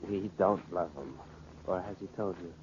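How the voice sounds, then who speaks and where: A man's voice: deep voice, moderate pace, a little mellow, close to an everyday voice. An actor playing a coldly cynical nephew of a rich man, in 1940s radio style. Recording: muffled, noisy 1940s radio recording.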